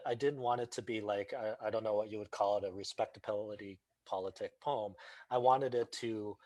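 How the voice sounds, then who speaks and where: A man's voice: medium pitch, dry recording. A man, a poet, talking loosely and hesitantly about his poem, then reading a few lines of it aloud. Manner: rambling, hesitant, self-deprecating. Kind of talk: casual talk and poetry reading.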